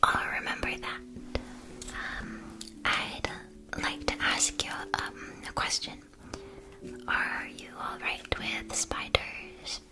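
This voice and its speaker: A woman playing a gentle, attentive witch school shopkeeper in an ASMR roleplay, speaking in a soft, breathy whisper with careful, polite phrasing. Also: slow pace, long pauses, natural voice. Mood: polite, hesitant.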